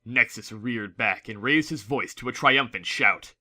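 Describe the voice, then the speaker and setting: A man's voice: mid-range voice, unhurried, sharp tone. A man narrating a fantasy story from a script in a dramatic audiobook style.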